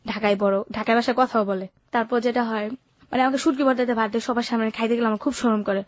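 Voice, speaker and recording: A woman's voice: fairly high pitch, steady tone, quick pace, no echo, highs cut off. A seventeen-year-old woman with a colloquial Bangladeshi Bengali accent, telling her life story informally and matter-of-factly. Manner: matter-of-fact, unsentimental telling. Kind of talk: informal first-person storytelling.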